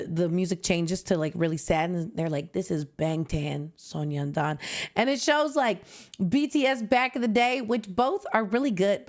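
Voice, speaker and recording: A woman in her twenties from Canada, chatting casually and animatedly as a reaction video host, swooping high and dropping low.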